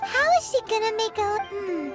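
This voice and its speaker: An extremely high, squeaky little fairy companion for an anime game. The delivery is cute, talkative and childlike, with big swoops in pitch. Soft music plays behind. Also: female voice, animated, normal speed. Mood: puzzled. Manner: speaks of herself in the third person, squeaky. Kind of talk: anime video game voice acting.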